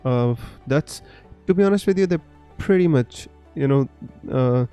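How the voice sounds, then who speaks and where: A man's voice: medium pitch, expressive, measured pace, dark tone, smooth, faint music behind. A man hosting a live fantasy football stream, answering viewer comments in a friendly, chatty way with lots of fillers.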